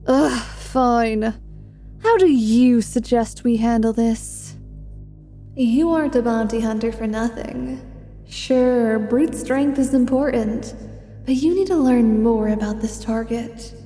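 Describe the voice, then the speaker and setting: Female fantasy adventuress played by a woman, ASMR roleplay: higher-pitched, soft-toned, feisty, foul-mouthed and sardonic. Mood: exasperated, teasing.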